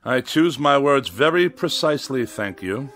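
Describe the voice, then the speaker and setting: An actor playing a pompous, irascible writer who despises machines, speaking in wordy, sneering sarcasm in a radio drama. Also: male voice, medium pitch, lively intonation, moderate pace, a bit bright, a little hiss or hum. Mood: scornful.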